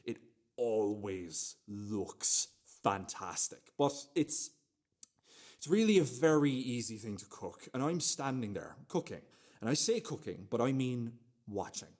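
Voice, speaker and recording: An actor playing an anxious, self-justifying man in a radio play monologue, talking in a halting, repetitive, conversational way. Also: mid-range voice, very animated, darkish tone. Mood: defensive, eager.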